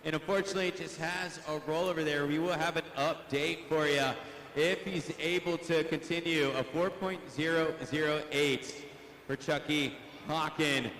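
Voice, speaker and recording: A man's voice: fairly high pitch, fairly even intonation, normal speed, fairly bright tone, slight background noise, low-quality recording. A male arena announcer losing his voice, calling a live monster truck event and pumping up the crowd. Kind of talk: live play-by-play commentary. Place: indoor arena.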